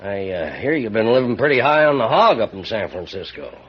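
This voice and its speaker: An actor playing a bitter, greedy older rancher with an American accent and a folksy, needling drawl in a radio western. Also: male voice, on the low side, very animated, brisk, husky. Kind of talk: old-time radio western acting. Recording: muffled, noisy old radio recording.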